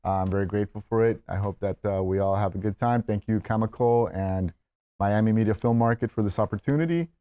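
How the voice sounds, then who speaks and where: A man's voice: lowish voice, fast, warm tone. A man hosting a table read, welcoming the group and narrating screenplay stage directions.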